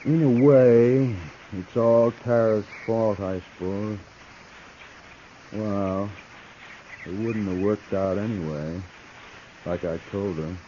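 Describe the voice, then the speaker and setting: A cynical western outlaw, laconic and unbothered. His American voice is dark and mellow with a very slow drawl, in old radio drama style, slightly dulled by the recording. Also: lowish voice. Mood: coolly resigned. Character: cynical, laconic western killer, coolly resigned to capture. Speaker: radio actor. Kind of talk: 1950s radio western acting. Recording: muffled, noisy 1950s radio recording.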